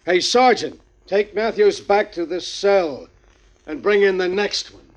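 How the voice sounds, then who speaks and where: An actor playing a gruff, scornful police officer who barks orders, in 1940s radio acting. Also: male voice, high voice, unhurried, very noisy. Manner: barking, contemptuous orders. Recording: dull lo-fi 1940s radio recording.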